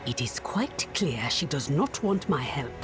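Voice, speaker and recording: Proud, stubborn fantasy game witch: slow, measured, cryptic delivery over prominent music. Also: very deep voice, sing-song, full character voice. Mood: sorrowful, stubborn. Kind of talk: dramatic fantasy game dialogue. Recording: dramatic music behind dialogue.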